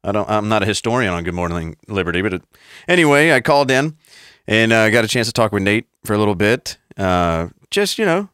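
A man's voice: fairly low pitch, sing-song, a little fast, fairly bright tone, smooth, no echo, studio quality. A man co-hosting a casual podcast, rambling and profane, laughing often. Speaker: podcast co-host.

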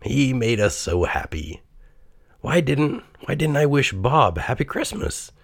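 A man's voice: mid-range voice, very animated, a little slow, creaky, dry recording, clean studio sound, pitched above the performer's own voice, heavily acted. A man voicing a greedy, cold-hearted old miser who talks in a snappish, cranky way. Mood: remorseful.

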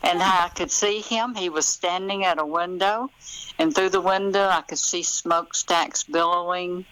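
A woman's voice: lowish voice, normal speed, a bit bright, clean tone, poor audio. An older American woman, telling stories conversationally as an author being interviewed.

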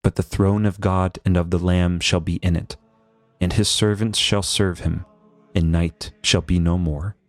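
A man reading a devotional book aloud as a priest, in a solemn, measured and formal manner. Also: deep voice, level tone, no echo, faint music behind. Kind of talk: scripted devotional narration, scripture reading.

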